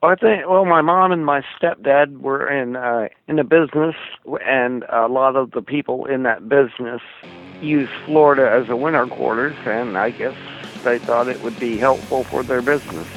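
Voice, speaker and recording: A man from Oklahoma being interviewed as a guitarist, answering in a thoughtful, soft-spoken way and pausing to recall things. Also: mid-range voice, soft background music, rough recording. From Oklahoma, USA. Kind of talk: casual interview chat.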